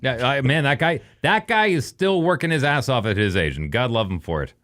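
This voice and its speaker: A man's voice: medium pitch, lively intonation, brisk, fairly bright tone. A middle-aged man, co-hosting a casual call-in podcast in a blunt, dry, matter-of-fact way. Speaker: podcast co-host and radio announcer. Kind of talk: casual advice and banter on a call-in show.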